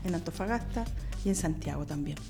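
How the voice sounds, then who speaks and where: A woman's voice: lowish voice, expressive, fairly quick, darkish tone. A woman, a nun and interview guest, chatting casually and effusively, often repeating words. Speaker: guest, nun working in schools. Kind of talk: casual warm conversation. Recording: music bed under the voice.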